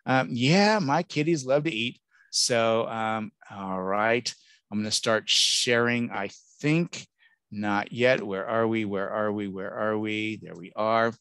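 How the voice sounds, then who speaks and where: A man's voice: fairly low pitch, slow, a bit bright, clear, resonant tone, dry recording. A middle-aged man from Southern California giving a chatty, reassuring admissions talk to parents over a video call, with jokes and acted-out quotes. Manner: chatty, reassuring, jokey, acts out quoted voices. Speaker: college admissions speaker. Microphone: computer mic on a video call.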